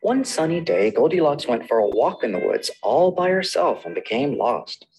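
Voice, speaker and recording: A male librarian leads a children's story time, telling fairy tales with a lively voice that swoops up and down. He sounds gentle and talks straight to the children, with faint music behind him. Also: mid-range voice, moderate pace, poor audio. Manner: gentle, sing-song storytelling, addresses children directly.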